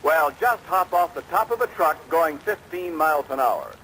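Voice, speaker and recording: A man's voice: a little high, expressive, normal speed, sharp tone, slight background noise, low-quality recording. An American man reading 1940s radio advertising as an announcer, with a polished salesman's delivery. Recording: old radio broadcast, rain overlaid.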